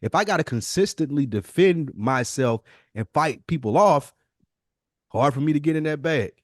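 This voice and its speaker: A man's voice: fairly high pitch, expressive, moderate pace, dry recording, studio quality. A man talking casually and giving his opinions as a panelist on a podcast. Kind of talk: casual chat, opinionated discussion.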